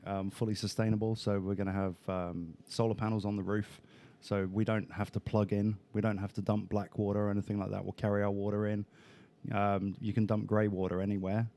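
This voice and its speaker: Low-voiced chatty English man. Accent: British, with some Australian turns of phrase. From England. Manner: relaxed and chatty, many fillers, sometimes a rising inflection. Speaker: guest. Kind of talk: casual interview chat.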